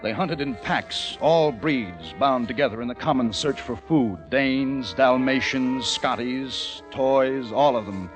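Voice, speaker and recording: An actor playing a haunted, educated young American survivor, narrating intensely in the theatrical style of old-time radio drama. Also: male voice, mid-range voice, slow, few pauses, close to an everyday voice. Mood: grim, brooding. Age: young adult. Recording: vintage radio recording with music behind.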